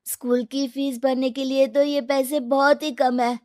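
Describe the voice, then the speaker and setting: A woman's voice: sits high, flat delivery, measured pace, a little mellow, no echo, studio quality, voice pushed up. A woman voicing an earnest, hardworking and grateful poor young boy in a cartoon story. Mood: determined.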